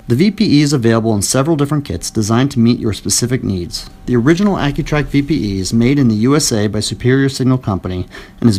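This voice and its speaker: A man with a mid-range voice reads a scripted product explainer. His delivery is clear, even and explanatory, like a studio voice-over. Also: mid-range voice. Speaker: product narrator.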